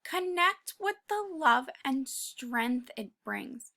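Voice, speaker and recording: A woman reading a children's picture book aloud, pausing to talk to young listeners in an encouraging way and sometimes dropping to a whisper. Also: high-pitched, animated. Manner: drops to a whisper at quiet moments. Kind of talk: read-aloud with commentary for kids.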